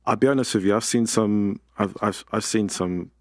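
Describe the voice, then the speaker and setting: Young dude on a podcast, real low gravelly voice, kinda halting. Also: male voice, no echo, studio quality. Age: 20s. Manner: hesitant, halting, repeats words. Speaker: podcast guest.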